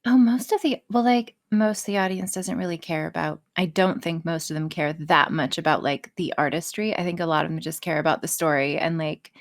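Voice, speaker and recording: Low-pitched woman chatting casually. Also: steady tone, a little fast, few pauses. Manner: frequent swearing, self-deprecating. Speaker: audio role-play creator being interviewed. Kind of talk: casual podcast chat.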